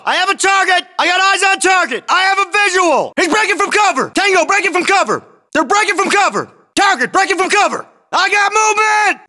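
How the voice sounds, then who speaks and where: An actor playing an urgent special forces soldier in a video game, barking clipped military callouts. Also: male voice, very high-pitched, bright, forceful tone, exaggerated voice. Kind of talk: shouted military battle chatter, game voice lines.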